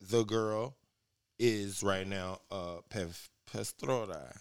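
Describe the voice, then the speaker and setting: A man co-hosting a casual podcast, joking around in slangy, playful talk with loud laughs. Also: fairly low pitch, takes it slowly, a crowd in the background. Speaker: podcast co-host.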